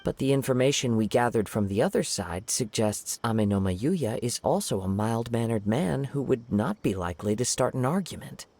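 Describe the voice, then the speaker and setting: A man voicing a calm, courteous young wandering swordsman in a game, speaking in a polite, reassuring way. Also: medium pitch, moderate pace, hardly any pauses. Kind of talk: game cutscene voice acting.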